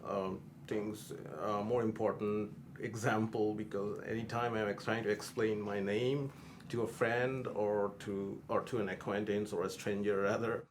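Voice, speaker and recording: A man from India, an international student, speaking haltingly and searching for words. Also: fairly low pitch, little pitch movement, a little hiss or hum.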